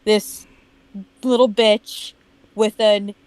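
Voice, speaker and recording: A woman's voice: medium pitch, flat delivery, extremely slow, darkish tone, smooth, no room sound, low-quality recording. A woman chatting casually as a co-host, sarcastic, blunt and crude in her commentary. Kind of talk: casual group chat and commentary.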